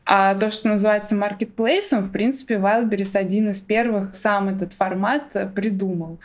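A woman's voice: mid-range voice, fairly even intonation, normal speed. A woman speaking as an interviewed guest, explaining things informally step by step with some hedging. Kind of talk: conversational explanatory interview talk.

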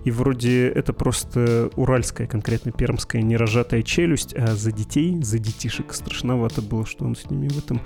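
Dark-voiced man answering listener letters. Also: mid-range voice, fairly even intonation, brisk, creaky, few pauses, faint music behind. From Perm region, Russia. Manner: dry, ironic, argumentative. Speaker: podcast host. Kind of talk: reading and answering listener mail.

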